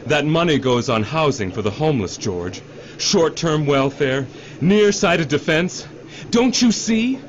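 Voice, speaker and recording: Casting: man to play slick middle-aged executive, self-justifying, higher-set animated voice, radio drama. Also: a little slow. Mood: angry, defiant. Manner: overfamiliar, salesman-like cajoling, repeats himself. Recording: dull radio drama recording, faint noise.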